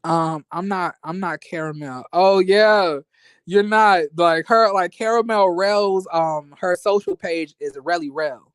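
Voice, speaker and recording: American guy doing a casual livestream, kinda high voice, sassy n slangy, dry close sound. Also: unhurried, clear, resonant tone, no echo. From United States, born in rural Florida and raised in Las Vegas. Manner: sassy, slangy, expressive, conversational. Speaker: YouTube reviewer and livestream host. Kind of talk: casual livestream chat with viewers.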